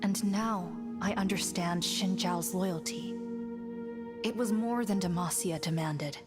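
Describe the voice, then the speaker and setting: A woman acts as a grave female warrior in a motion comic, speaking slowly and formally in a lowish voice. Her delivery is measured and solemn, like one carrying news of a fallen comrade, with clear music underneath. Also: natural voice. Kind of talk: scripted dramatic narration in a motion comic.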